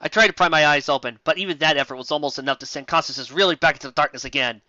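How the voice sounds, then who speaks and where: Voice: medium pitch, very fast, sharp tone, dry recording, voice pushed down, natural voice. A reader playing a melodramatic, self-important young heroine narrating a story with solemn, overwrought delivery, slightly lo-fi. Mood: weak, dreamy.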